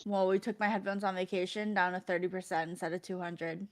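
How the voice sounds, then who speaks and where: A woman's voice: lowish voice, little pitch movement, brisk. A young woman from Connecticut chatting casually while streaming, rambling through stories and laughing often. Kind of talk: casual chat while gaming.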